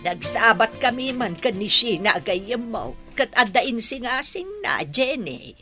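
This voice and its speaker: A woman's voice: on the high side, big pitch swings, measured pace, music in the background. A woman playing a plain-spoken, complaining older mother in an audio drama. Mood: persuading.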